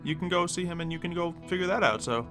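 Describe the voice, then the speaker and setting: A man's voice: sits high, quick pace, natural voice. Easygoing peacemaker survivor, zombie game roleplay, male player, casual conversational delivery, music underneath. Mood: easygoing, cautious. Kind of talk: improvised video game roleplay, casual chat.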